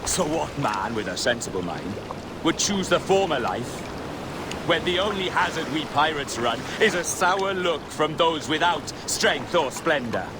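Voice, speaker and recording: An actor playing a proud pirate captain in a video game, speaking in florid, theatrical oratory. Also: male voice, a little high, big pitch swings, unhurried, heavy background noise. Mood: grand, persuasive. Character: grandiloquent, proud pirate captain addressing his crew. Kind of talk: dramatic video game acting.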